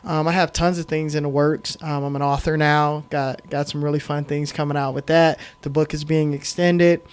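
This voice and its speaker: A middle-aged man telling his story as a podcast guest, emphatic and animated, with frequent "um"s. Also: on the high side, moderate pace, clear, resonant tone. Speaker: podcast guest, film director. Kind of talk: casual storytelling interview.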